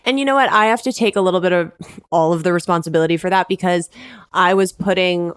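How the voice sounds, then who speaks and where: Low, smooth-voiced woman podcast host. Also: fast, dry recording, studio quality. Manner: candid, profane. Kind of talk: casual podcast conversation.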